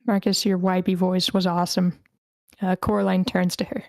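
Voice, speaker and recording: A woman's voice: lowish voice, level tone, normal speed, a little mellow, no echo. Dry-sounding woman narrating a screenplay. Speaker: narrator reading stage directions. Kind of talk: screenplay narration at a table read. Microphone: video call.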